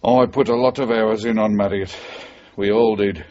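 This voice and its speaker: A man's voice: on the low side, steady tone, moderate pace, darkish tone, natural voice. An actor playing a wary, cynical male former policeman, guarded and wry, in a 1980s radio drama. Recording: dull, muffled radio broadcast recording.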